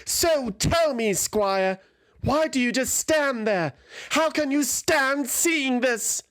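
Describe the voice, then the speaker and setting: Young bird warrior woman, really high pitched, wounded n pleading, fantasy audio story. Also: animated, takes it slowly, a bit bright, heavily acted. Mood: bitter, pleading. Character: anguished young feathered warrior woman, despairing, self-loathing.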